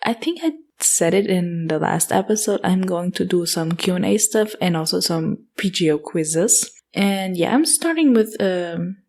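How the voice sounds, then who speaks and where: A woman's voice: on the low side, expressive, a little slow, hardly any pauses, a little mellow. A woman in her twenties with an Austrian German accent hosting a casual solo podcast Q&A, chatty with frequent fillers and self-corrections. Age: 20s. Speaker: podcast host.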